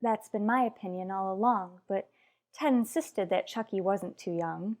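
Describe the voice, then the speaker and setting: A woman's voice: medium pitch, moderate pace, a little mellow, lightly acted. A woman playing an anxious, protective mother in an old-time radio soap, earnest and troubled, questioning as she goes. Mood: worried. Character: anxious, wounded mother protective of her young son.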